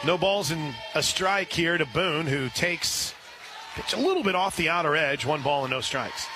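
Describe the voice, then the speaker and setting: High-pitched American man calling softball on radio. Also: lively intonation, moderate pace, noisy background. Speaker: radio play-by-play sports announcer. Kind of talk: live softball play-by-play commentary with sponsor reads.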